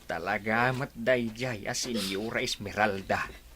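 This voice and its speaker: A man playing a gentle, consoling older father in a radio drama, with a Filipino accent. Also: fairly low pitch, unhurried, slight background noise. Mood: tender, concerned. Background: music.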